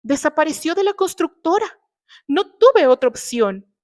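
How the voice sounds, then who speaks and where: A woman's voice: high-pitched, very animated, unhurried, voice pushed up. A woman voicing a desperate middle-aged wife and mother, pleading and justifying herself hesitantly.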